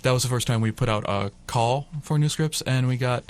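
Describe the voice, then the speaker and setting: A man's voice: mid-range voice, fairly quick. A man talking casually as a guest in a radio interview about his group's work. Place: college radio station.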